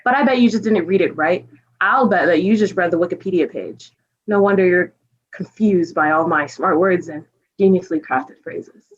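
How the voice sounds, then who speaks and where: A woman's voice: lowish voice, moderate pace, slightly rough, dull audio. A woman playing an earnest, pedantic, easily flustered storyteller in a comic stage reading. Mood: defensive.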